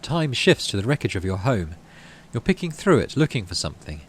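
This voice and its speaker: A man's voice: on the low side, very animated, brisk, slightly rough. A man hosting a gaming playthrough, reading story text aloud and adding casual commentary. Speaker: gaming channel host.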